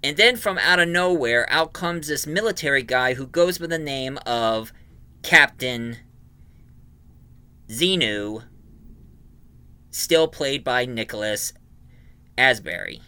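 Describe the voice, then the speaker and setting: A man giving an informal, emphatic review of an audio drama, recapping it in a halting way. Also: medium pitch, lively intonation, sharp tone, clean tone, dry recording.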